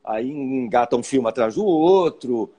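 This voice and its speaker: An older man from Paraíba with a Northeastern Brazilian accent, telling his career story in an unhurried, reflective way as an interview guest. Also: medium pitch, animated, warm tone. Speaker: guest actor.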